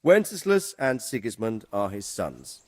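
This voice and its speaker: An actor playing a middle-aged, protective noble father who gives measured, instructive advice in a game. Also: fairly low pitch, very animated. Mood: explaining. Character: protective, wise noble father advising his son. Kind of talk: dramatic game cutscene acting.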